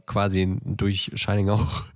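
A low male voice in a dry, close recording. He talks casually as a podcast co-host, feeling his way through sentences with halting repetitions. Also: monotone, no echo. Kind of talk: casual chat about films.

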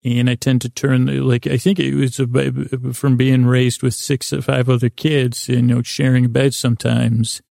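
A man hosting a sleep podcast, meandering through a halting, self-correcting bedtime monologue in a creaky voice. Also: medium pitch, flat delivery, warm tone, no room sound, clean studio sound.

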